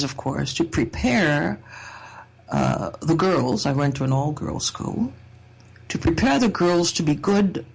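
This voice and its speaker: A woman from Trinidad speaks slowly and thoughtfully, reflecting on her own life. Her voice is a little husky, and she lets in a dry, wry humor now and then. She takes her time over each phrase. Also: sits low, poor audio. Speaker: speaker sharing a personal essay. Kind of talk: reflective personal talk.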